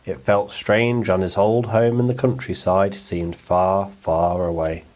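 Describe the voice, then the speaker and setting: A man narrates a children's picture book for bedtime. His voice sits a little low, in a storytelling delivery made for young listeners. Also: steady tone, measured pace, a little mellow.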